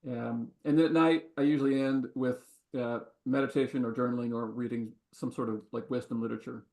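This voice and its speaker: A man who coaches founders, speaking on a webinar panel in a relaxed, unhurried, reflective way. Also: medium pitch. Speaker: coach and podcast host. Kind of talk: casual panel talk.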